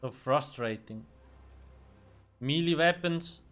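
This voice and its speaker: A man whose first language is Swiss German talks through his game stream in a bright, forceful voice set a little high. His commentary is loose and salty, full of muttered reactions between answers to chat. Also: slow pace. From Switzerland. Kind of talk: casual gameplay commentary.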